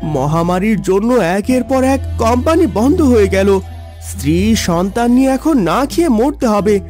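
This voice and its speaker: A man acts a proud city son and father in a cartoon, high in pitch and melodramatic, with music underneath. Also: sing-song, measured pace, darkish tone. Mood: despairing. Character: proud, self-centred city son, a father, later ruined.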